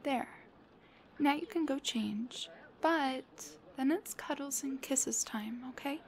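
Woman voicing a playful young girlfriend, high pitch, slow pace, soft near-whisper, affectionate, pleading, intimate ASMR. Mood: teasing, affectionate. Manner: cutesy, pleading, teasing.

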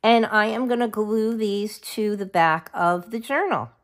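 A woman's voice: medium pitch, unhurried. Woman, scratchy cold voice, chatty craft tutorial, middle-aged, forgets names mid-sentence. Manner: chatty, self-interrupting, forgets names of supplies. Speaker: craft video host.

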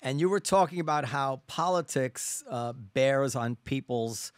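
A man's voice: medium pitch, measured pace, no room sound. A man in his fifties in casual podcast talk, argumentative and given to stammered repeats, close on a studio mic. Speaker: podcast host. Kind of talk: casual chat. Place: small indoor podcast studio. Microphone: close studio mic on arm with headphones.

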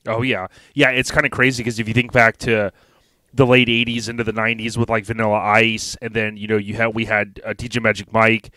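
A man hosting a casual podcast, talking in a relaxed, chatty, friendly way. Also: on the low side, brisk, no echo. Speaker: podcast host.

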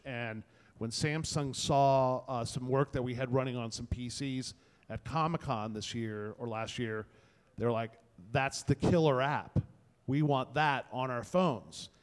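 A man talking casually and enthusiastically as a panelist, in an informal, colloquial way. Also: mid-range voice. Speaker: panelist, tech content creator. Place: indoor event space before a small audience. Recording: reverberant room, panel event.

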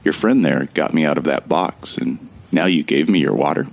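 A man's voice: a bass voice, moderate pace, gravelly. A man narrating a sci-fi audio drama in the first person, in a wry, joking and casual way. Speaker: narrator and protagonist.

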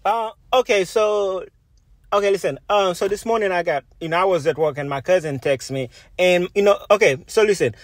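A man's voice: high voice, fairly quick. A man from Haiti, a video blogger giving rambling, informal commentary and often repeating himself. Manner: rambling, repetitive, filler-heavy.

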